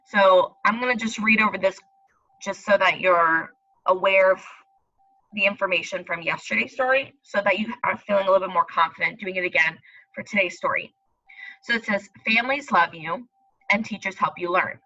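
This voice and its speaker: A woman's voice: mid-range voice, normal speed, pushed and bright, muffled recording. A woman teacher leading a read-aloud lesson for young children, explaining step by step and speaking directly to them. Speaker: elementary school teacher.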